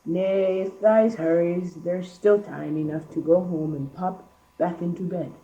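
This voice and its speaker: Girl as a muffled, dark-voiced millhand. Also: fairly low pitch, steady tone, a little slow. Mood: reassuring. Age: young teenager. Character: shrewd, placid male English village millhand. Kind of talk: amateur school radio play. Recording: muffled, distant amateur recording.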